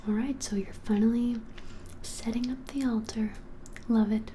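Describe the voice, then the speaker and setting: A woman in her twenties whispers through an ASMR roleplay. Her voice is breathy and soft-edged, with a mellow, dark tone. She talks in a gentle, conversational, halting way over a faint background hiss. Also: a little high, fairly even intonation. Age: 20s. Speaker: ASMR creator playing a witch shop keeper. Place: small plain room indoors.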